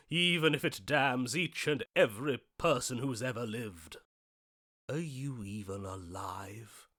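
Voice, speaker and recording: Man acting a lovesick video game romantic, mid-pitched, unhurried, theatrical, florid, brooding and adoring, dry close sound. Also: a bit bright, no room sound, natural voice. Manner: theatrical, florid, archaic declamation.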